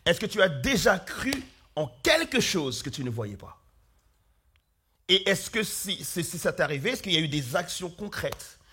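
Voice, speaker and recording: Husky-voiced pastor with a cold. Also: male voice, high-pitched, very animated, moderate pace, pushed and bright. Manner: earnest, calls out questions to the congregation, many fillers and restarts. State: hoarse with flu. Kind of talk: sermon with opening prayer. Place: church hall.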